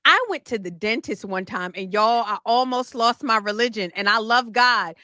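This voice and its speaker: A woman in casual political chat, sassy, emphatic and incredulous. Her voice is high and bright. The recording is dry and close. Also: normal speed, no echo. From Maryland, United States. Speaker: co-host.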